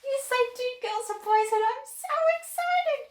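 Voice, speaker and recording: A woman's voice: very high voice, expressive, unhurried, voice pushed up. A woman voicing an eager, bubbly little girl character on a children's storytime show. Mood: excited. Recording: roomy, echoey room.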